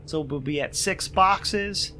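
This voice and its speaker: A man playing a solo role-playing game, thinking aloud and narrating haltingly, repeating phrases as he reasons things out. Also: on the high side, pushed and bright, slight background noise.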